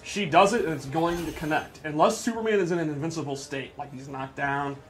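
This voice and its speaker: A man's voice: a little high, brisk, faint music behind. A man giving informal, emphatic live commentary as he demonstrates a video game on a stream. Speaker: game developer presenter on a livestream.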